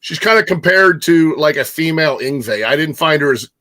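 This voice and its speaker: A man co-hosting a casual chat show, speaking informally in a halting but emphatic way. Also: a little high, expressive, pushed and bright. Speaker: show co-host.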